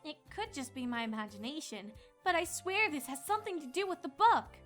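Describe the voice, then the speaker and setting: Woman voicing bright, high-pitched anxious young pony heroine. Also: fairly quick, faint music behind. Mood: hesitant, earnest. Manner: hesitant, earnest, excitable. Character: young female pony princess student, anxious, earnest, easily flustered. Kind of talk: cartoon-style fan fiction dramatization.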